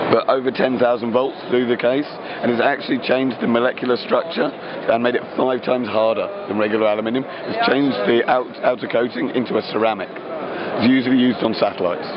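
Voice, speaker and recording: A man talks through a gadget demo in a croaky, worn voice, stopping often for ums and uhs. His pitch sits in an ordinary middle range. The recording is dull and lo-fi, with loud noise behind him. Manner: fillers, quick geeky explaining. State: croaky, tired voice. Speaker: company product presenter. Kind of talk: casual product demo.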